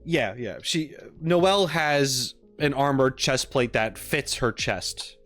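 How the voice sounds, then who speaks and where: Man, average pitch, live streamer, informal rambling chat, joking asides, faint background music. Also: animated, slow, fairly bright tone. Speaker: live streamer reacting and chatting with viewers. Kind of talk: casual livestream chat.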